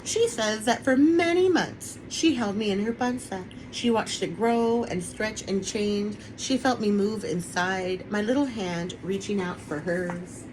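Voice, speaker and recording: A woman's voice: medium pitch, measured pace, few pauses. Woman reading a picture book, noisy recording. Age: adult, parent of a young son. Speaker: author reading own picture book aloud. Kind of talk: children's picture book read-aloud. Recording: clearly noisy online webinar recording.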